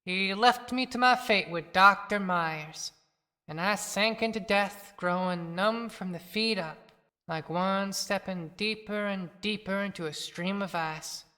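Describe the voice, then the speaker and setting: A man's voice: sits high, a little slow, bright, forceful tone. A man voicing a scorned, lovelorn dead poetess in a plaintive audio-play monologue. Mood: wounded, mournful. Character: mocked, lovelorn village poetess, mournful dead young woman. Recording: long cavernous reverb.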